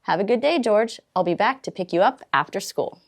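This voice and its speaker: A friendly, polite man from a children's storybook, voiced by a woman reader. He is brisk and encouraging, with animated intonation. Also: mid-range voice. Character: friendly, polite grown man, caretaker of a monkey. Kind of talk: storybook read-aloud character voice.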